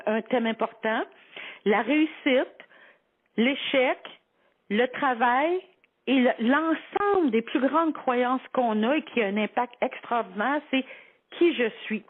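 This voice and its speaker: A woman's voice: a little high, slightly rough. Québécoise woman on tinny, phone-like radio. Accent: Quebec French. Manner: informal, illustrates points with imagined quotes. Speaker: psychology columnist on a radio show. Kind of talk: conversational advice segment, explaining with everyday examples. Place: radio broadcast. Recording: tinny, phone-like band-limited radio audio.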